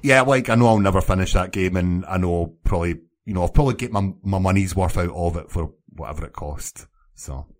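A man's voice: deep voice, a little fast. A man co-hosting a podcast and chatting casually about video games. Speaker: podcast co-host.

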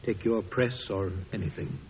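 A kindly, plain-spoken President played by a man in a vintage radio drama. He talks low, in a halting, homespun manner. The sound is thin and tinny with faint noise. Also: animated, natural voice. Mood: quietly pleased. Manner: hesitant, folksy. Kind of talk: old-time radio drama acting. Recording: tinny, hissy old radio recording.

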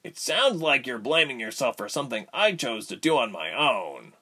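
Man playing a quiet, devoted male captive. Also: mid-range voice, sing-song, normal speed, breathy edge, pitched above the performer's own voice, exaggerated voice. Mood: warm teasing. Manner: quiet, earnest.

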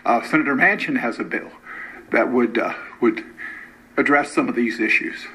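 An older man, a former local official, giving an interview answer in deliberate, halting phrases. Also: medium pitch, pushed and bright, poor audio. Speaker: former county official and educator.

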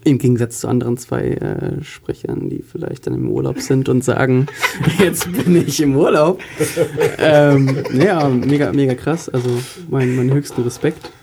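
A man's voice: mid-range voice, sing-song, moderate pace, vocal fry. Man on a noisy, clicking line. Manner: admiring, filler-heavy. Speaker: co-host. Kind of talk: casual chat.

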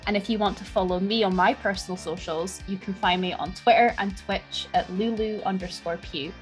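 A woman's voice: mid-range voice, steady tone, brisk, faint music behind. A woman co-hosting a podcast in casual, laughing chat that drops swear words freely. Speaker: podcast co-host.

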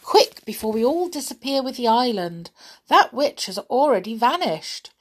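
A woman's voice: a little high, very animated, normal speed, voice pushed up. A woman voicing a sensible young girl in a children's story, firm but kindly.

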